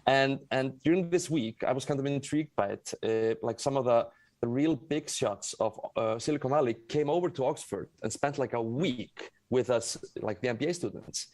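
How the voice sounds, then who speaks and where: A man's voice: medium pitch, moderate pace, a little mellow, no echo. Icelandic guy being interviewed, medium voice, wanders and rephrases a lot, dry close sound. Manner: rambling, self-correcting. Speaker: guest, tech company founder. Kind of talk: conversational interview storytelling.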